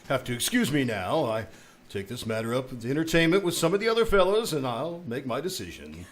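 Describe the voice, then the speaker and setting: Man playing a pompous, blustering principal, animated swoops. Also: mid-range voice, brisk, close to an everyday voice. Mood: flustered. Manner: blustering, hemming and hawing. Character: pompous middle-aged male school principal, flustered and blustering. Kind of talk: old-time radio comedy acting. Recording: noisy live stage recording.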